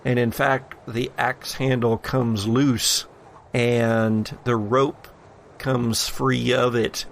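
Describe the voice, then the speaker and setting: A man acting as game master, narrating a tabletop horror game with deliberate dramatic pauses. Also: medium pitch, vocal fry, slight background noise.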